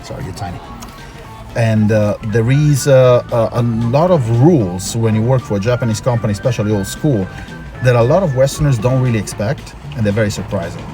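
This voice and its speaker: A man from Italy speaks as a guest in a casual interview, in a mid-pitched voice. He explains himself at length and repeats points for emphasis. Music plays clearly behind his talk. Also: brisk. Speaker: interview guest, foreign worker in Japan. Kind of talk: casual street-interview chat. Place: in or by a car.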